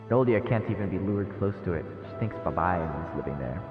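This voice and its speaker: A man's voice: low-pitched, animated, quick pace. A man streaming a visual novel, reading the narration aloud and adding casual asides. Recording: echoey, phone-like narrow sound, long reverb. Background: game music.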